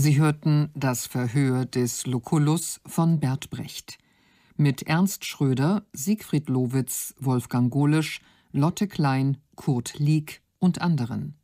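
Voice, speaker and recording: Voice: mid-range voice, slow pace, mellow, no echo, studio quality. An announcer reading formal broadcast closing credits.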